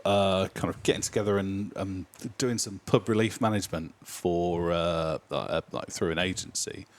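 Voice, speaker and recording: A man from the Nottingham area, a brewery owner chatting in an interview and filling pauses with ums and uhs. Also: low-pitched, steady tone. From Nottingham area, England. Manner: hesitant, many ums and uhs. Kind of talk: casual interview chat.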